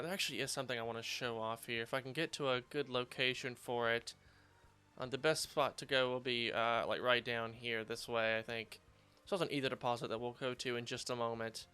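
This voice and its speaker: Slightly hoarse man narrating gameplay. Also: medium pitch, pushed and bright. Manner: rambling, filler-heavy, upbeat. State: slightly hoarse after surgery. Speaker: let's play host. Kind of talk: casual gameplay commentary. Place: home gaming setup, recording standing up.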